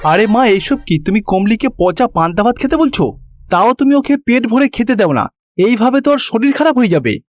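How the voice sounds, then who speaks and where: A man's voice: very high voice, sing-song, normal speed. A man voicing an earnest, protective young husband in a cartoon. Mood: indignant. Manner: pleading, earnest.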